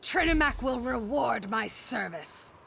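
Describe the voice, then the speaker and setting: Fanatical female zealot, slow and strained, gravelly, sharp-edged, fantasy game villainy. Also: on the high side, lively intonation, slight background noise, heavily acted. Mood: defiant. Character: defiant female zealot serving a god's higher cause. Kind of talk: fantasy video game acting.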